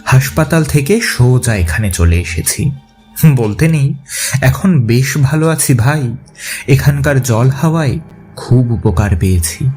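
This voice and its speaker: A man's voice: medium pitch, lively intonation, a little slow, a little mellow, soft background music, close to an everyday voice. A man playing a genial ghost of an old college friend in an audio story, talking in a hearty, coaxing, familiar way. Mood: jovial. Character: tall male ghost of a college friend, genial, eager host. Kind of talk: dramatized audio story acting.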